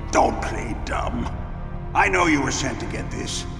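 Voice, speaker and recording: An actor playing a wounded, cynical older arms company president in a video game cutscene, haughty and defensive. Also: male voice, medium pitch, animated, unhurried, gravelly. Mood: weary, irritated. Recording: echoey reverb under loud game music.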